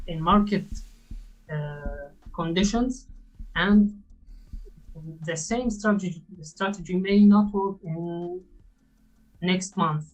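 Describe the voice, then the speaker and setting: A man in his twenties with a Syrian Arabic accent, a native Arabic speaker, answering interview questions in halting English full of fillers. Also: high voice, poor audio. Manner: halting, hesitant speech with many fillers and restarts. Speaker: guest trader.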